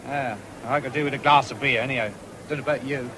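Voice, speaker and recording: A man's voice: mid-range voice, steady tone, fast, close to an everyday voice. An actor playing an easygoing, free-spending young countryman with a rural English accent in a 1970s radio drama, teasing and hesitant in dialect. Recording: noisy old radio broadcast, fairground din.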